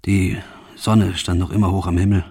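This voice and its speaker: A numb, guilt-ridden soldier recounting his deeds in a radio drama. His voice sits low and is thickly husky, with a lot of breath in it. The delivery is grave, restrained and halting. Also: fairly even intonation, mellow. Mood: weary, numb. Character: guilt-ridden male soldier of a firing squad, numb, exhausted. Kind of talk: scripted first-person radio play monologue.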